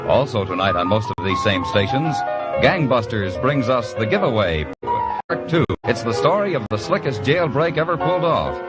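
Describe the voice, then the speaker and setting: A man's voice: mid-range voice, big pitch swings, moderate pace. A man with an American accent announcing in a polished, dramatic, scripted vintage radio style. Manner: polished, dramatic promotional delivery. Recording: dull old radio recording under loud music.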